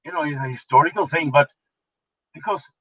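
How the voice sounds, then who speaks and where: A man from Punjab with a Punjabi Indian accent, hosting a political commentary show and speaking haltingly with many fillers and restarts. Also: a little high, a bit bright, breathy edge, rough recording. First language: Norwegian. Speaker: host.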